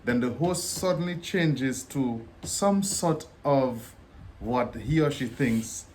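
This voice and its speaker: A man from Dominica with a Dominican accent, explaining in a relaxed, joking way as co-host of a casual vlog. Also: medium pitch, slow, slight background noise.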